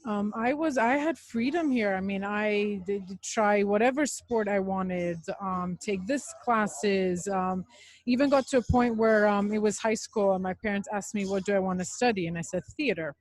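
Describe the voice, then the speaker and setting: A woman's voice: medium pitch, moderate pace, dry recording. Chatty young woman raised in Dubai. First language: Serbian. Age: young adult. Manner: open, chatty storytelling. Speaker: guest. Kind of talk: casual interview chat. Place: cafe.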